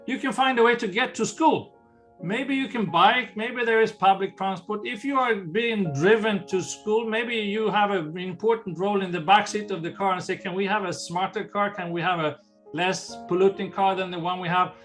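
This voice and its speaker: Older Swedish-accented man, high voice. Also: normal speed, a bit bright, faint music behind. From Sweden. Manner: earnest, explanatory, restarts phrases. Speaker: ocean conservation leader. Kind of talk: interview answers.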